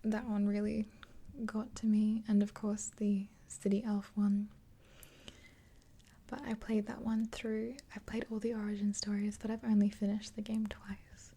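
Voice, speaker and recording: A woman in her twenties whispering gently and breathily in an ASMR roleplay, close to the mic. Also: medium pitch, monotone, slightly rough. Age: 20s. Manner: gentle, unhurried. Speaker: ASMR creator playing a makeup artist. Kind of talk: ASMR roleplay, soft rambling chat. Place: small indoor room. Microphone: close mic, face near camera.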